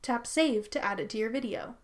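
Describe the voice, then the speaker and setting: Higher-voiced woman hosting a tutorial. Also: a little fast. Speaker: tutorial host. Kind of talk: scripted how-to narration.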